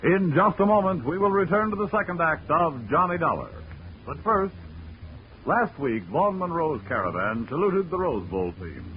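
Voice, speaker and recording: A man's voice: a little high, very animated, normal speed, noisy background. Formal 1940s radio announcer, man. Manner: formal, declamatory. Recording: dull 1940s radio broadcast.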